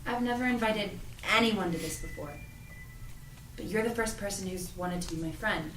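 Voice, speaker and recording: A woman's voice: mid-range voice, normal speed, noisy background, close to an everyday voice. Woman as earnest teenage girl, roomy distant mic. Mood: secretive, earnest. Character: earnest, secretive teenage girl, friendly shop helper. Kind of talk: audio drama cast table read.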